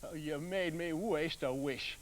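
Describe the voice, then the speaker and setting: A man's voice: a little high, a little slow, lightly acted. A man voicing a hot-tempered poor woodcutter who blusters and complains. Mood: angry.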